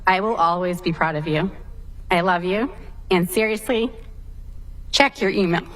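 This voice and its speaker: A woman on the school staff gives heartfelt farewell remarks to the graduating class. She sounds warm and affectionate and slips in a light joke, and her voice sits a little low. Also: normal speed.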